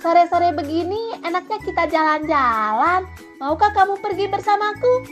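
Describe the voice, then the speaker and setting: A woman's voice: very high-pitched, lively intonation, normal speed, fairly bright tone, soft background music, voice pushed up. A woman voicing a sly, greedy monkey in a children's tale, wheedling with false friendliness. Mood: coaxing.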